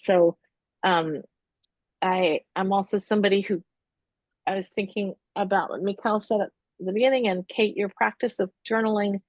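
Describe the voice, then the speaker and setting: A middle-aged woman from the Middle East, an exhibiting artist speaking thoughtfully and haltingly in a gallery talk. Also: on the low side, dry recording. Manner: thoughtful, halting, searching for words. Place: art gallery.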